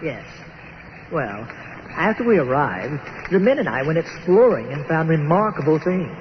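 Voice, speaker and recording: An actor playing a courteous, earnest archaeologist from the nineteenth century, stranded on an island, telling his tale formally and haltingly in old time radio style. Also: a little high, sing-song, slight background noise. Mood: wistful wonder. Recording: muffled radio-show recording with music behind.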